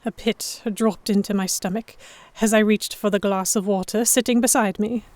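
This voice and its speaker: A guarded, anxious young noblewoman, played by a woman, tells her own story in an audio drama. Her narration is literary and measured, at a medium pitch, recorded very dry. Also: fairly even intonation, darkish tone, no echo, close to an everyday voice. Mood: uneasy. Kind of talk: first-person dramatic narration, historical romance audio drama.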